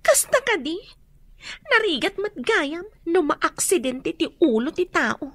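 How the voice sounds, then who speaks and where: A woman's voice: extremely high pitch, big pitch swings, a little slow, a bit bright, no echo, full character voice. A Filipino woman acting a fretful, exasperated woman with a complaining manner in a family radio drama. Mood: worried.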